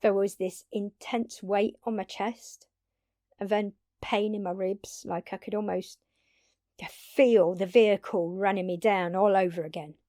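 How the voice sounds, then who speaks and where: A woman playing an earnest, blunt, long-lived vampire in an intimate audio drama, speaking haltingly and pleadingly with dramatic pauses. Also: medium pitch, fairly even intonation, dark tone, no room sound, clean studio sound, natural voice. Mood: grave, intense. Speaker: voice actor.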